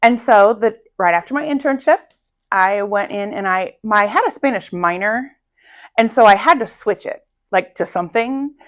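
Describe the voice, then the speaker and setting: A woman in her thirties, a high school Spanish teacher, telling stories in a casual interview with a loud, energetic voice. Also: normal speed.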